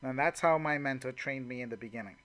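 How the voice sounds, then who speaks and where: A man with a bright, forward voice and a middling pitch gives an informal training talk. He coaches conversationally and without a script, padding his sentences with "you know". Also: quick pace. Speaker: network marketing trainer.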